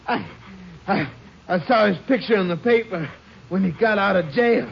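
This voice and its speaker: High-pitched, whimpering wounded crook. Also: male voice, normal speed, creaky. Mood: pleading, defeated. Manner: stammering, whimpering, desperate. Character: wounded, cowardly murder suspect, pleading and whining. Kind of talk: 1950s radio drama acting. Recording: dull, noisy 1950s radio recording.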